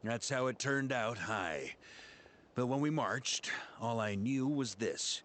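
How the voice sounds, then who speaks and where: An actor playing a seasoned expedition commander in a fantasy game, wry, commanding and philosophical, with folksy turns of phrase. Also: male voice, medium pitch, lively intonation, takes it slowly, a bit bright, slight background noise. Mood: resolute.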